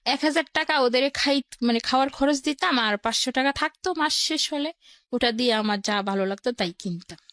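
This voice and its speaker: A woman with a high voice and a Bangladeshi accent shares personal experience as a guest. She narrates in a plain, colloquial way, one step after another. The sound is dry and close. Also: fairly quick, a bit bright, no room sound. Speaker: guest telling her life story. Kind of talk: personal storytelling in an interview.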